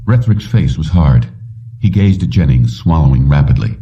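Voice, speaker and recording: A man's voice: rumbling low, expressive, moderate pace, warm tone, far from the mic. A man reading a fiction audiobook as narrator, in a dull recording that sounds set back from the mic.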